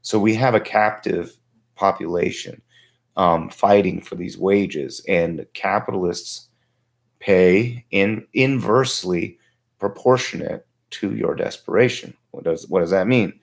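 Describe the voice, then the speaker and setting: Guy with a low tired voice losing it a bit, halting reflective politics talk, streaming from his home workshop. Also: gravelly. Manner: halting, reflective, thinking aloud. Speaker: livestream host. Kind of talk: casual political commentary. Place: small home workshop, indoors.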